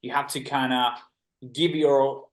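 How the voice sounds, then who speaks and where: A man's voice: mid-range voice, level tone, a little slow, low-quality recording. A man from Finland with a Finnish accent, a musician guest on a podcast, speaking earnestly and intensely in English, often repeating words as he starts a sentence. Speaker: band member, guest. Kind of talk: podcast interview answers.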